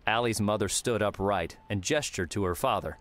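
A man's voice: on the low side, moderate pace, a bit bright. A man narrating a scripted story as an audiobook narrator.

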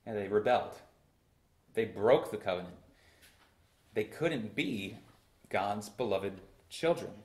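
Young pastor preaching in an echoey church. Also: male voice, lowish voice, expressive, a little mellow. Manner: preaching with swells of emphasis and slow drawn-out phrases. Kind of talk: sermon. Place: church sanctuary at a pulpit. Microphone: far camera in a roomy church.

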